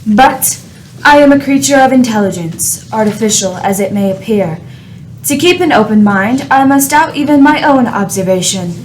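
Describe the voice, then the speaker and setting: A woman's voice: a little high, slight background noise, natural voice, low-quality recording. Woman acting a cold, haughty spaceship artificial intelligence. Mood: haughty ultimatum. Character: contemptuous, menacing spaceship artificial intelligence judging humanity. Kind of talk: radio play acting.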